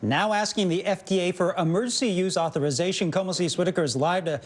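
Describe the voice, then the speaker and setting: American guy news anchor, pretty high voice for a man. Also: fast talker.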